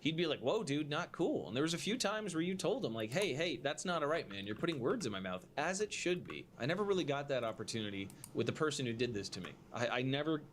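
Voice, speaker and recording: A man's voice: on the high side, very animated, fast, hardly any pauses. Indignant man over faint background noise. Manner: emphatic, indignant, dwelling on grievances. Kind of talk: aggrieved personal video statement. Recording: faintly noisy replayed video audio.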